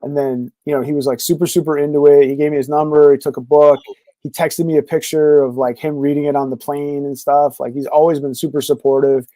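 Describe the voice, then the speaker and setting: A man talks casually as a podcast guest, rambling on with plenty of fillers. His voice is mellow and warm, a touch higher than most. The sound is dry and close. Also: flat delivery, brisk, dry recording, poor audio. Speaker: podcast guest, comic creator. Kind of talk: casual interview chat.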